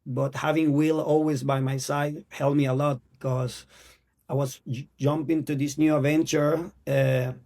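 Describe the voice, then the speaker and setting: A man in his thirties from Venezuela with a Venezuelan Spanish accent, Spanish as his first language, telling his story as an actor guest in an earnest, halting way. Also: on the high side, little pitch movement, gravelly, darkish tone. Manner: earnest, halting non-native English. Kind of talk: casual interview.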